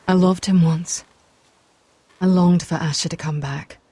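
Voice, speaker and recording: Young noblewoman for fantasy game: dark-toned, lowish, guarded, earnest, grave and formal. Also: fairly even intonation, slightly rough, dry recording. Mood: earnest, pleading. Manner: measured, grave, formal phrasing. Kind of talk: video game drama voice acting.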